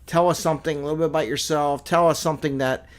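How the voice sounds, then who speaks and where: A man's voice: on the high side, steady tone, quick pace. A man hosting an interview show, introducing his guest in a rambling way and correcting himself as he goes. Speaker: host.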